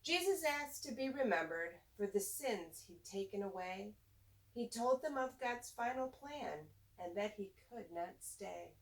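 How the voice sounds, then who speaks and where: Slow-paced woman reading a children's storybook. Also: mid-range voice, animated, far from the mic, low-quality recording. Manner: slow, deliberate, teacher-like address to young listeners. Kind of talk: read-aloud rhyming children's storybook with simple friendly asides.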